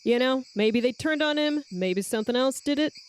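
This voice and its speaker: A woman's voice: high voice, animated, moderate pace, voice pushed up. Woman playing a sardonic archaeologist, noisy insect backdrop. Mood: suspicious, pointed. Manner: sarcastic, pointed. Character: sardonic, suspicious female archaeologist adventurer, bristly and insistent.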